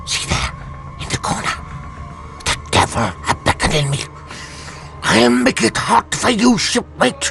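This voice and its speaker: A dying old pirate captain in a radio play, thick with creak and soaked in rum. He drags out his haunted threats, swooping wildly up and down over music. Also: high-pitched, big pitch swings, sharp tone, full character voice. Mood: delirious, menacing. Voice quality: gravelly, creaky. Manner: slow, rambling, wildly swooping delivery. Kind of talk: radio drama acting. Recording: music behind the voice.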